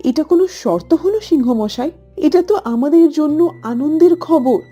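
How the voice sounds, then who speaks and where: Cartoon old mother cow, kindly, tender, mellow, slightly high, husky. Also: expressive, normal speed, soft background music. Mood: gentle, reassuring. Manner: tender, pleading.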